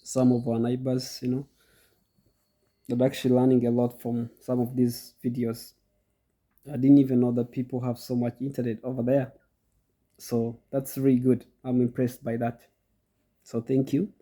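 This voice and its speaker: A man's voice: medium pitch, completely flat delivery, normal speed, pauses often, warm tone. A middle-aged goat farmer with a cold, hosting his channel and explaining step by step in a chatty way to his viewers, often repeating himself. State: congested from a cold. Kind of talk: casual instructional talk to viewers.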